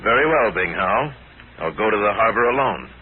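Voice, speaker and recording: A man's voice: on the low side, animated, natural voice. Tinny old radio serial hero male actor: composed, righteous, commanding detective with very dynamic intonation. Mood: measured, resolute. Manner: authoritative, measured, dramatic questioning. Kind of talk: 1940s radio adventure acting. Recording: tinny, hissy 1940s radio recording.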